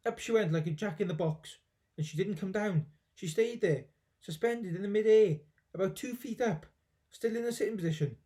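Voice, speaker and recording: Mellow man reading a children's story. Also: sits high. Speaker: teacher reading aloud. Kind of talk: expressive children's storybook narration.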